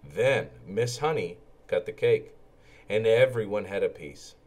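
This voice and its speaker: A man narrating a children's storybook in an expressive, animated way and acting out the characters' lines. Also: lowish voice, unhurried. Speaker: read-aloud storyteller for children.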